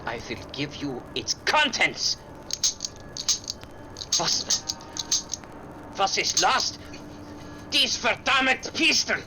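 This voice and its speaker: A man's voice: high-pitched, huge pitch swings, drawn-out words, long pauses, noisy background, full character voice. A man playing a scheming, formal and menacing Nazi agent in a 1940s-style radio drama. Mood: cold, menacing, then furious. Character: scheming male Nazi agent posing as French ally.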